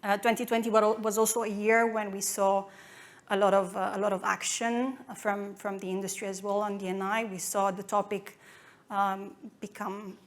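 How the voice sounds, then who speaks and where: A woman's voice: mid-range voice, flat delivery, normal speed. A woman in her thirties from Romania with a Romanian accent, giving a conference talk with many fillers and restarts. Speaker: conference speaker.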